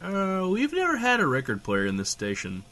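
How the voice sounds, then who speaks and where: A man's voice: sits high, wildly swooping pitch, moderate pace, bright, forceful tone, exaggerated voice. Irreverent, swooping-voiced man as a flustered radio host. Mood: deadpan. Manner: sarcastic, hedging, profane. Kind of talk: comedic audio drama, bantering dialogue.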